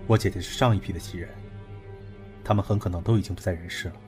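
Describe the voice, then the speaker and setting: An actor playing a grave, commanding young man, an elder friend, solemn and measured, in an audio drama. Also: sits low, steady tone, darkish tone, faint music behind, close to an everyday voice. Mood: somber. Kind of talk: Chinese audio drama acting.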